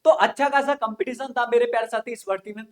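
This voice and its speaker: A man's voice: very high-pitched, fairly even intonation, fast. A man teaching an informal online exam-coaching lesson, explaining things repetitively and often checking that listeners follow. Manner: repetitive, frequent check-in questions.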